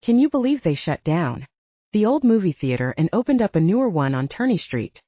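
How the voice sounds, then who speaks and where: A woman's voice: fairly low pitch, sing-song, brisk, dark tone, breathy edge, no room sound. A woman narrator voicing a dry, proud, blunt woman in an audiobook, on a slightly dull recording. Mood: chatty. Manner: dry, matter-of-fact.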